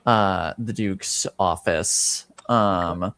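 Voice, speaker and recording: A man improvising narration as game master of a tabletop game, with halting, hesitant phrasing. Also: sits low, fairly bright tone, dry recording.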